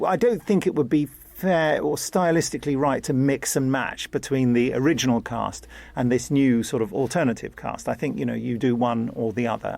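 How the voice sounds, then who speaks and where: A man gives a casual, explanatory interview. His mid-pitched voice has a pronounced gravelly creak, and he drops in conversational asides as he goes. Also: a little mellow. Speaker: audio drama maker.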